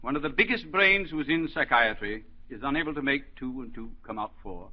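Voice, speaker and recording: A man's voice: mid-range voice, lively intonation, a little fast, close to an everyday voice. Man playing imperious old professor, old radio sound. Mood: testy, matter-of-fact. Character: elderly psychiatry professor, shrewd, scolding, fatherly mentor. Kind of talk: 1940s radio drama acting. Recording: dull, lo-fi 1940s radio broadcast.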